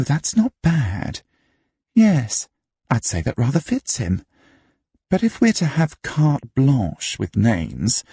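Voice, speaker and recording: A man voicing a flamboyant, opinionated pub regular in an audiobook, exclaiming with affected, drawn-out emphasis. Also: fairly high pitch, warm tone, slightly rough, no echo, voice pushed up, exaggerated voice. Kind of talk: audiobook character acting.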